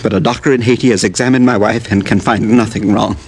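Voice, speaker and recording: A weary, sick farmer. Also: male voice, fairly low pitch, steady tone, slightly rough. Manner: quiet, halting and tired. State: ill, weak. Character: sick weary Haitian farmer, middle-aged man. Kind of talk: 1950s radio drama acting.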